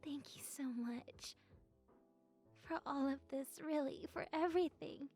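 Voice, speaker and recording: A woman playing a shy, sweet young goth best friend in an intimate audio roleplay, soft-spoken and breathy, with hesitant, stammering speech. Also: high-pitched, unhurried, soft background music. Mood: happy, grateful.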